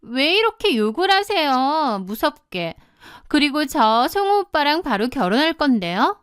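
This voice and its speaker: A woman voicing a brazen young mistress in an audio drama, calm, cheeky and mock-polite as she taunts a wife. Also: high-pitched, measured pace, clear, resonant tone, dry recording, clean studio sound, voice pushed up, exaggerated voice. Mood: taunting, mock-calm.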